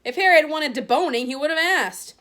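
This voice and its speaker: A woman's voice: high voice, animated, fairly quick, bright, forceful tone, pitched above the performer's own voice. A woman voicing a cheeky, indignant twelve-year-old boy. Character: cheeky boy wizard, twelve, loyal friend.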